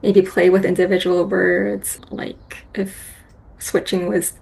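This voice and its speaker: A woman poet talks openly about her writing, with soft laughs between her thoughts. Her voice carries a strong gravelly fry. She speaks with candor and keeps a warm tone. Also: lowish voice, flat delivery, slow, low-quality recording. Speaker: guest poet. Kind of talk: casual reflective conversation.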